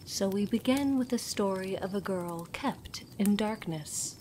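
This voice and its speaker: A woman narrating a scripted horror story as a storyteller, dramatic and deliberate, dropping at times toward a whisper. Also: mid-range voice, dark tone, slight background noise.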